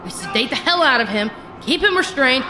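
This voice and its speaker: A woman's voice: high voice, expressive, a little fast, music in the background. A woman playing a weary, bitter psychiatric ward nurse with an emphatic manner in a horror audio drama. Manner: exasperated, emphatic.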